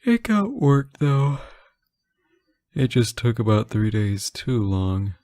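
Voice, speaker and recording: A man playing a sleep-deprived, teasing boyfriend on an intimate late-night call, soft-spoken, hesitant and gently joking. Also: mid-range voice, big pitch swings, takes it slowly, no room sound, clean studio sound. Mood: tired, defensive. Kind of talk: intimate audio roleplay, one side of a phone call.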